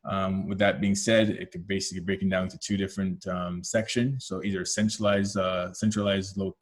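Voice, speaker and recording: A man's voice: low-pitched, level tone, normal speed. A man with a Canadian accent presenting a product webinar as a technical lead, explaining step by step with frequent fillers and self-corrections.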